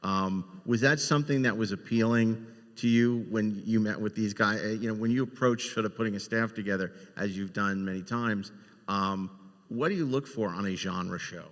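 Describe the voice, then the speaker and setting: A man moderating a panel, putting questions to the guests in a halting way. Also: fairly low pitch, a bit bright. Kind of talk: interviewing on a panel. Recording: echoey hall with long reverb.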